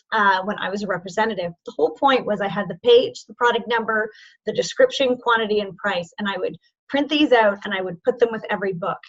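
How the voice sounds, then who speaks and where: A woman training sales representatives in an online session, informal and encouraging, with a voice that is going hoarse. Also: medium pitch, highs cut off. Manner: informal and encouraging, checks in with "okay?". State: losing her voice, hoarse.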